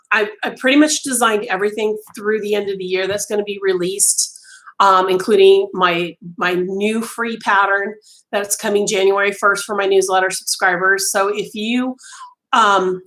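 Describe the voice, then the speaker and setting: A woman's voice: mid-range voice, a bit bright, poor audio. A middle-aged woman losing her voice, chatting casually with live viewers from a small home craft room over a webcam. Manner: chatty, many ums and restarts, talks with her hands. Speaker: livestream host.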